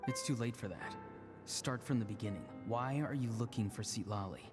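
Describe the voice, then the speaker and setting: A man's voice: medium pitch, fairly quick, lightly acted. Earnest young mystic played by a man, echoing reverb. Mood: urgent, resolute. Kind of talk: dramatic video game voice acting. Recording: long echoing reverb under game music.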